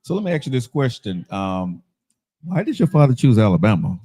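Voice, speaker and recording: Woman talk host, casual and reactive, with animated, swinging pitch. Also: brisk, slightly rough. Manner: reactive, chatty, echoes the guest's words. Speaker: host, interviewer. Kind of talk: casual chat interview.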